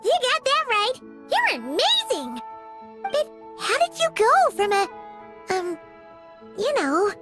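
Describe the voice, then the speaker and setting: A woman voicing a tiny, childlike companion creature in a video game, squeaky, bubbly, emotional and affectionate. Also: huge pitch swings, takes it slowly, long pauses, full character voice. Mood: cheerful, curious. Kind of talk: video game character acting. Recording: music playing behind the voice.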